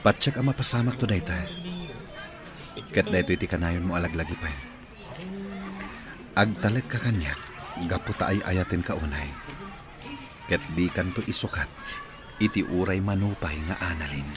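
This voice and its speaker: A man's voice: sits low, normal speed, pauses often, crowd noise, natural voice. A man playing a devoted, reassuring boyfriend in an Ilocano radio drama, speaking in an earnest, soothing way. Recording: clear music under the voice.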